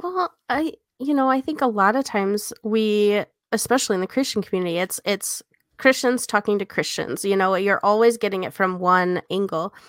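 A woman's voice: mid-range voice, moderate pace, no room sound, studio quality. A woman co-hosting a casual book discussion, explaining her points in a conversational way. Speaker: podcast co-host and editor.

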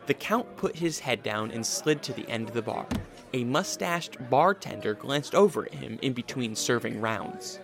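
Guy narrating an audio drama, storybook style, lots of pitch movement, music under him. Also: animated, moderate pace, vocal fry.

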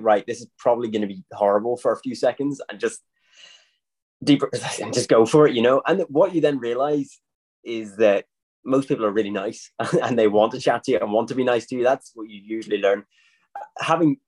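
A man, a magician talking in a relaxed, animated podcast chat and laughing often. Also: on the low side.

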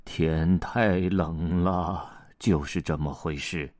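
A man's voice: steady tone, slow, darkish tone, dry recording, clean studio sound. A man doing a glum, shivering elephant. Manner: low, mumbling, weary. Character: glum, shivering elephant, grumbling about cold.

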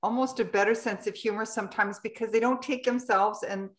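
A woman's voice: a little high, fairly even intonation, a little fast. A woman, answering interview questions in a conversational way. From Canada. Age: 60s. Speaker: interview guest, health and lifestyle advocate.

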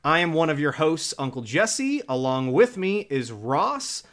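Upbeat, lively male podcast host. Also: fairly high pitch, measured pace. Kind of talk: casual podcast chat.

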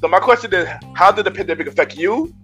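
A man's voice: high voice, fast talker, a bit bright, faint music behind. A man hosting a casual podcast interview, agreeable and often repeating his words as he goes. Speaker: podcast host.

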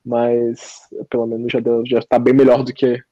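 Brief: young man, reflective podcast guest, dark mellow tone over a dull dry lo-fi line. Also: medium pitch, monotone, normal speed, no room sound. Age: young adult. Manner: reflective, hesitant with many restarts. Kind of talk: casual interview answers.

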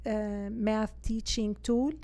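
A middle-aged woman from Syria with a Syrian Arabic accent, Arabic her first language, answering interview questions in an earnest, halting way full of fillers and restarts. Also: medium pitch, flat delivery, warm tone. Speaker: guest, real estate agent.

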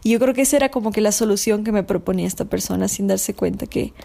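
A woman's voice: mid-range voice, quick pace, gravelly, dark tone. A woman speaking in a thoughtful, hesitant way in a casual discussion, often repeating words as she thinks.

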